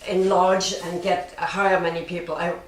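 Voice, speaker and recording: An elderly woman, a printmaker recalling her work as an interview guest in a halting, reflective, plain-spoken way. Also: lowish voice, flat delivery. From born in Germany, raised in Japan. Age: 70s or older. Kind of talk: unscripted personal recollection. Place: print studio workshop. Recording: echoey, distant room with faint noise.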